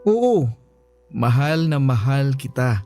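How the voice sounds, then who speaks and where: A man with a Filipino accent playing a sheepish young boyfriend in a radio drama, pleading haltingly. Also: mid-range voice, sing-song, mellow. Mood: tender, earnest. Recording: music bed behind the voice.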